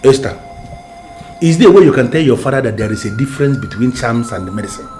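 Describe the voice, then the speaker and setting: An animated man, played by an actor, pressing his brother hard, with his pitch leaping about. Soft music runs under his voice. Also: fast talker, close to an everyday voice. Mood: insistent. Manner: insistent, persuasive. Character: middle-aged man, insistent persuading brother. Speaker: uncle, brother.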